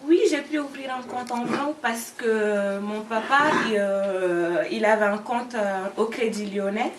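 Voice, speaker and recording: A woman's voice: a little high, measured pace. A woman, a student talking casually in an interview. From Mali. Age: 20s. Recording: echoey room, distant mic, faint noise.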